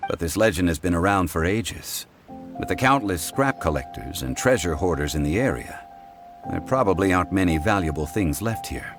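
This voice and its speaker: An actor playing a calm, learned, dignified man who speaks in a measured, formal way. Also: low-pitched. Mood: composed. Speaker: game character. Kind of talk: video game cutscene acting. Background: game soundtrack music.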